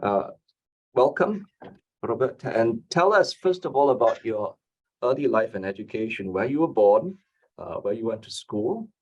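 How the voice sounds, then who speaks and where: A man hosting an interview, a law professor asking polite, measured questions. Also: medium pitch, lively intonation, breathy edge, dull audio.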